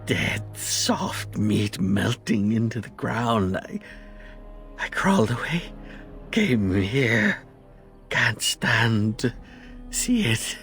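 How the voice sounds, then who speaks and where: An actor playing a dying, traumatized male survivor in a fantasy video game, speaking in broken, agonized, halting phrases. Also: mid-range voice, big pitch swings, a bit bright, soft background music, heavily acted. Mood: haunted, anguished. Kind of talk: video game character acting.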